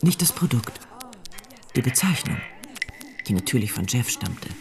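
A man's voice: medium pitch, big pitch swings, measured pace, creaky, over music. A man narrating a scripted radio drama as its storyteller.

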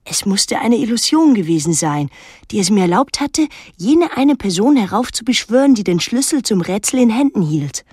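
An actress playing a reflective eighteen-year-old daughter who tells her own story in a measured, literary radio drama style. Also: medium pitch, big pitch swings, a little mellow, husky, no room sound, clean studio sound. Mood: absorbed, puzzled.

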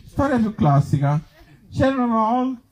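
A man doing a high-strung, befuddled fairy-tale reader. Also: sing-song, a little mellow, poor audio. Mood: earnest, confused. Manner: halting, stumbling, repeats words, abrupt endings. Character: befuddled, stubborn reader of absurd little fairy tales. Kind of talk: comic theatrical storybook reading.

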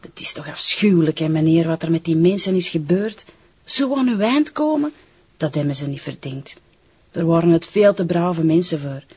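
An actress with a Flemish accent playing a chatty, plaintive housekeeper with a husky voice in an audio drama. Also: female voice, sits low, big pitch swings, moderate pace, darkish tone, highs cut off, heavily acted. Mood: mournful. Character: plain-spoken, gossipy female housekeeper, aggrieved.

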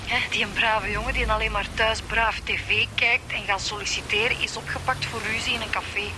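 An actress playing a brisk, sardonic female detective with a Flemish accent, phoning in a report in a radio drama. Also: on the high side, steady tone, noisy background, natural voice. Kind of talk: radio police drama acting.

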